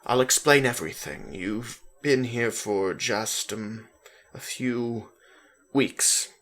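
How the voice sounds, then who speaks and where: A reader voicing a boy's emotional father, halting and reassuring, in a dramatic story reading. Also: medium pitch, a bit bright. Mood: nervous, explaining. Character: boy's emotional father, relieved, nervous, soothing.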